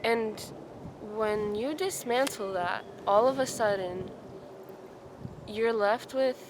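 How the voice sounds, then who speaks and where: A woman's voice: on the high side, slow. A woman in her twenties talking through her thoughts in a casual outdoor vlog, musing and full of "like". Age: 20s. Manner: musing, says "like" a lot. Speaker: vlogger. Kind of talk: reflective casual vlog talk. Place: outdoors in a forest. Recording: outdoor noise behind her.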